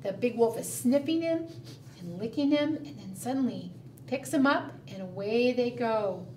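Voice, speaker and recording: A middle-aged woman telling a picture-book story to preschoolers in simple, expressive language, often asking the listeners questions. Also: a little high, moderate pace. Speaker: children's librarian and storytime host. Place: indoor room, book shown to camera. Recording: roomy, distant mic, background noise.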